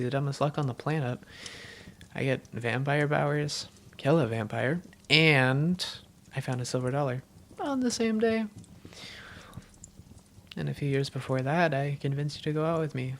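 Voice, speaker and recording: Woman playing a low, creaky-voiced vampire girlfriend. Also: a little hiss or hum. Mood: fond, cocky.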